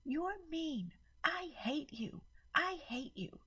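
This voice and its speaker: A woman's voice: high-pitched, big pitch swings, slow pace, a bit bright, muffled recording, voice pushed up, exaggerated voice. A woman voicing a spoiled six-year-old girl in a storybook-style narration, whiny and shrieking in a tantrum. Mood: angry tantrum.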